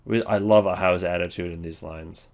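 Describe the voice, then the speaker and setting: Casual low-voiced man ranking things. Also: steady tone, normal speed, darkish tone. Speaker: ranking video host. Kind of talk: casual, off-the-cuff commentary.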